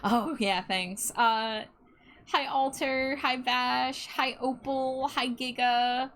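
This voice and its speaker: A woman's voice: sits high, fairly even intonation, takes it slowly, fairly bright tone. A woman streamer greeting her chat in a bubbly, friendly way. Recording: clear background music.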